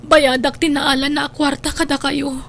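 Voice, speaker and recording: A woman's voice: high-pitched, level tone, normal speed, a bit bright, slight background noise. A woman acting a young student in an Ilocano radio drama, a devoted younger sister who pleads earnestly and tearfully. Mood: pleading.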